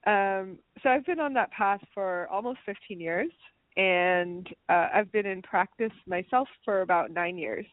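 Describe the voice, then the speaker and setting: Woman, 30s, radio guest, relaxed conversational, dry thin phone sound. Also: medium pitch, a little slow, dry recording, phone-quality sound. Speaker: naturopathic doctor guest. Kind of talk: radio interview.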